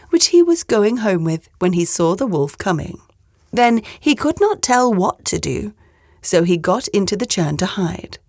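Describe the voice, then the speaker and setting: A woman narrating a children's storybook aloud in an expressive storyteller's style. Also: mid-range voice, dry recording, clean studio sound.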